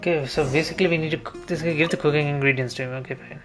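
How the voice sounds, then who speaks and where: A man's voice: fairly high pitch, steady tone, very fast. A man livestreaming a video game, chatting casually and thinking aloud in a rambling, hesitant way as he plays. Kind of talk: casual gameplay commentary, chatting while playing. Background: video game music.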